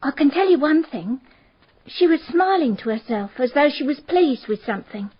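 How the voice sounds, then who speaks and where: Radio play maid, female: high voice, respectful and precise, dull recording. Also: animated, normal speed. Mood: deferential. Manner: respectful, precise, matter-of-fact. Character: deferential female maid answering a detective's questions. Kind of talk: radio drama acting.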